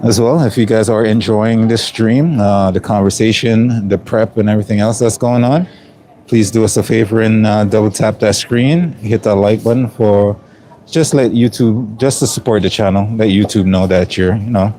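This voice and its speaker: A middle-aged man from Jamaica with a mostly Canadian accent and a hint of Jamaican, chatting casually while cooking on a livestream, relaxed and rambling with many ums. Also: fairly low pitch, normal speed.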